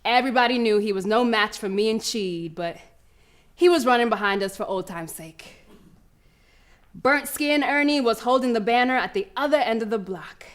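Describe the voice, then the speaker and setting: A woman's voice: on the high side, normal speed, close to an everyday voice. A woman playing a proud young schoolgirl runner in an audio drama monologue, telling her story in colloquial, emphatic speech with dramatic repetition. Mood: eager, confident. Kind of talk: dramatic first-person monologue, audio play.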